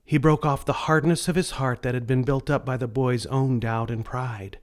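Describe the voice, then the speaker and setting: A man narrating a scripted children's story in a deliberate read-aloud manner. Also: medium pitch, steady tone.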